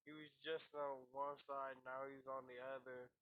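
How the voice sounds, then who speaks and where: A man's voice: mid-range voice, level tone, moderate pace. A man talking casual, profane trash in a voice chat, confrontational in manner. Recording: tinny phone-like walkie-talkie app audio.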